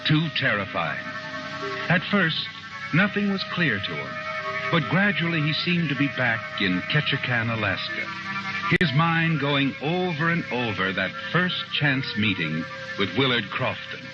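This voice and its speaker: A somber radio drama narrator, dramatic and intense. His pitch swings widely. Heard on a dull old recording with loud music. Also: very animated, measured pace.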